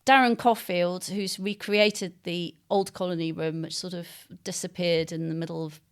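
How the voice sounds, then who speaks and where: An English woman with a slightly low-pitched voice talks as an interviewed author. Her delivery is conversational and discursive, feeling its way through loosely linked phrases with some hesitation. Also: fairly even intonation, normal speed, smooth. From East London, England.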